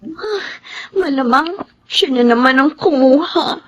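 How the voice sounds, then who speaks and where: A woman's voice: high voice, lively intonation, takes it slowly, fairly bright tone, full character voice. A woman playing a sensitive, easily hurt young daughter in a Filipino radio drama, with a Filipino accent and an emotional delivery. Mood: troubled.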